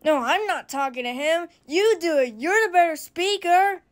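A woman's voice: very high voice, animated, normal speed. A woman playing a timid, reluctant radio station worker in a game radio drama. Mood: nervous.

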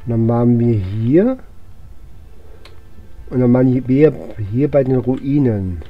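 A man's voice: medium pitch, expressive, slow, phone-quality sound, faint music behind. A man who sounds a bit under the weather, giving casual let's play commentary in short, muttered fragments to himself. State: unwell, recovering from illness. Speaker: gaming YouTuber.

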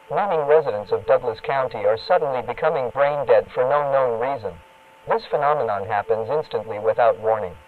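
A man playing an official emergency alert announcer, reading a scripted warning in a formal, impersonal, measured way through a radio filter. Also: medium pitch. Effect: radio broadcast filter. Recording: narrow, phone-like radio broadcast sound.

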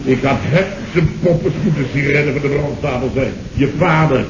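A man's voice: mid-range voice, brisk, lightly acted. A man acts out a self-important boss in an old radio comedy. He blusters with exasperated sarcasm, stammering and repeating himself. The muffled, echoey recording has heavy noise. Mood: blustering. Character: blustering, indignant middle-aged male boss, self-important. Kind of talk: 1970s Dutch radio comedy acting.

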